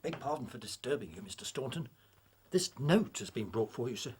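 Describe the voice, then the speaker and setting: An actor playing a deferential, helpful working-class English hotel porter in an audio drama, speaking in a homely, colloquial way. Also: male voice, medium pitch, lively intonation, brisk, a little mellow, a little hiss or hum, lightly acted. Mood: concerned.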